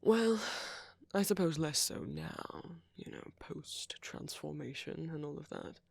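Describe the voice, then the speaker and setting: A man playing a friendly, flirty vampire in an intimate ASMR roleplay, courteous and gently teasing, with a husky, murmuring voice close to the microphone. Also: on the high side, expressive, takes it slowly, dry recording, exaggerated voice. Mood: gentle, teasing. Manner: gently teasing, polite old-fashioned phrasing, soft chuckles. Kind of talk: ASMR roleplay, intimate one-sided dialogue to the listener.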